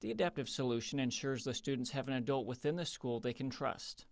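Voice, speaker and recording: A man giving a scripted TV news report in a measured, emphatic reporter's delivery. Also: mid-range voice, fairly even intonation.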